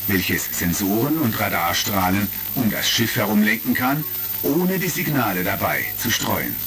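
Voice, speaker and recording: A man narrates an audio drama from a script. His voice sits fairly low, with music clearly playing behind it.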